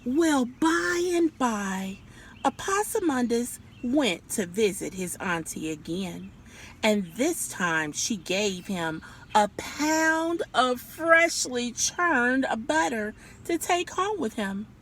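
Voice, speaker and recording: A woman reads a storybook to children in a high, very animated voice. She takes her time and stops to put questions to young listeners. Light noise sits behind her. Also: takes it slowly, slightly rough. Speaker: children's read-aloud storyteller.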